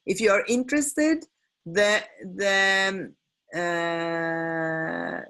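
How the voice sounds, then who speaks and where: A woman teaching feng shui and decluttering in a live webinar. English is not her first language, and she talks haltingly, with many fillers and self-corrections. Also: medium pitch, fairly bright tone, clear, resonant tone. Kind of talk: live webinar sales pitch and Q&A. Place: home on a small island.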